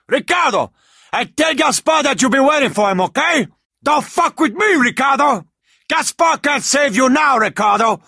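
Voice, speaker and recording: An actor playing a hot-tempered, vengeful gangster drug lord in a video game, with a Cuban accent and a raspy voice, throwing profane, threatening taunts. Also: male voice, extremely high pitch, sharp tone, no room sound, studio quality, exaggerated voice.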